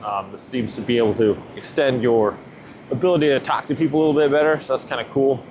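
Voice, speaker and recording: A man with a medium-pitched voice talks casually through an unscripted product review. His delivery is loose and informal, with frequent checking questions. He is recorded outdoors, with some faint noise behind him. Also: steady tone, fast. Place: outdoors near water, walking.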